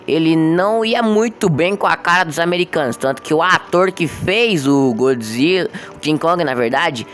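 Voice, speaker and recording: High-voiced young Brazilian man over music. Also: lively intonation, moderate pace, a bit bright. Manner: informal, conversational, addresses viewers directly. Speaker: video host. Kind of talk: casual explainer storytelling.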